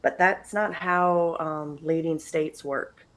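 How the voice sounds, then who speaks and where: A woman with a lowish voice co-hosts a game stream and speaks slowly and without hurry. She is matter-of-fact and laughs easily. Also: steady tone, a little hiss or hum. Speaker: co-host. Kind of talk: casual stream chat.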